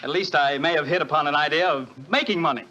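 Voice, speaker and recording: An actor playing a jaunty young American gambler who jokes easily, in a 1940s radio drama with muffled sound. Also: male voice, fairly high pitch, fast, loud music behind the voice, close to an everyday voice. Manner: jaunty, joking.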